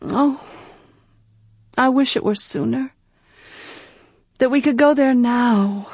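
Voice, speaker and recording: A woman's voice: a little high, slow pace, a little mellow, breathy edge, no echo, pitched above the performer's own voice, full character voice. A woman voicing an anguished young woman, proud and guilt-ridden, who talks in a tense, pleading way that breaks with grief. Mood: wistful. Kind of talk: audiobook character reading. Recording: dull, muffled sound.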